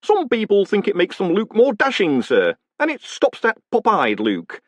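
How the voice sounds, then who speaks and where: A man's voice: sits high, huge pitch swings, normal speed, dry recording, pitched above the performer's own voice, exaggerated voice. A man voicing a friendly, obliging hangman who chats politely and calls everyone sir. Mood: cheery. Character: cheerful obliging male hangman.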